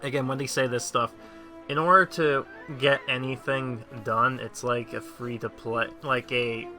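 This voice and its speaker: A man's voice: mid-range voice, steady tone, measured pace, sharp tone, soft background music. A young man casually commenting on a mobile game, rambling with many fillers and trailing thoughts. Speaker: let's-play host.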